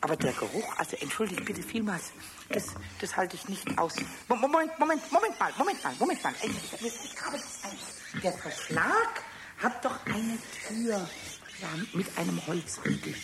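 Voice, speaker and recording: A man voicing a cheeky, mischievous little male kobold who giggles, stammers and chatters to himself in a 1970s radio play. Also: normal speed. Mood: gleeful. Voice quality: high, squeaky and stammering. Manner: giggling, excitable, talks to himself. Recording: noisy 1970s radio play with farm animal sounds.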